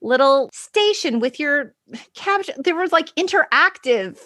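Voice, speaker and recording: A woman's voice: high-pitched, expressive, measured pace, sharp tone, dry recording, clean studio sound. A middle-aged woman co-hosting a podcast, telling personal stories casually with a dramatic, self-deprecating manner. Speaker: podcast co-host. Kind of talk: animated personal storytelling, casual chat.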